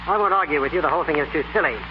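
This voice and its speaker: An actor playing a haughty, evasive society swindler in a 1930s radio play, pompous and affronted. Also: male voice, on the high side, racing, lightly acted. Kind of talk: 1930s radio drama acting. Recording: muffled, noisy 1930s radio broadcast.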